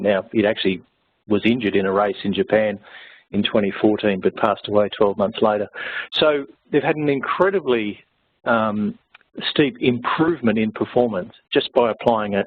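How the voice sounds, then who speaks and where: Male conference presenter, mid pitch, husky, chatty with fillers, thin phone-band audio. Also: phone-quality sound. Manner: conversational, with frequent fillers and repeats.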